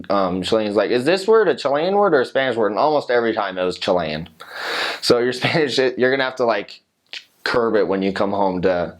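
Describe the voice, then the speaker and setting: An American man casually explaining things to the camera, chatty, with lots of fillers and asides. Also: lowish voice. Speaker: former missionary.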